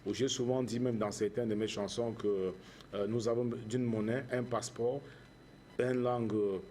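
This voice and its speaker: A man with a Cameroonian accent giving earnest interview answers as a charity founder, in measured, preacher-like phrases with repetition. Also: medium pitch, steady tone, mellow, slight background noise.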